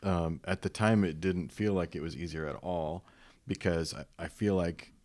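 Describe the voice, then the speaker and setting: A man who is a guest on a casual podcast, with a distinctive smooth radio-style voice, telling stories in a relaxed, rambling way. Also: deep voice, moderate pace. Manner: relaxed, rambling storyteller with many restarts. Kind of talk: casual chat. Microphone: podcast microphone.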